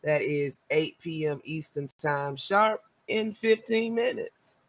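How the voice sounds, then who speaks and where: A man's voice: on the high side, lively intonation, slow. A man hosting a live stream, wrapping up in an upbeat announcer's manner and plugging the next shows. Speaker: host.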